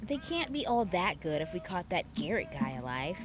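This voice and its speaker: An actress playing a curious young woman soldier in an audio drama, asking quick, friendly questions. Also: lowish voice, big pitch swings, over music. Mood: puzzled.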